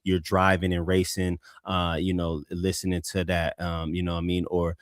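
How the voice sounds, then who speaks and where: A man with a deep, somewhat monotone voice, casually reviewing a song on a live show with lots of fillers and restarts. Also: moderate pace, no room sound, studio quality. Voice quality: deep voice, flat delivery. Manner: rambling, many restarts and fillers. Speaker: co-host music reviewer.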